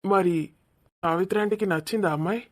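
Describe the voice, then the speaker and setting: A cheeky young village man from a cartoon comedy, voiced by a woman, grumbling and evasive. The voice sits at a middle pitch for a woman and has a casual Telangana accent. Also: fairly quick, lightly acted. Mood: anxious.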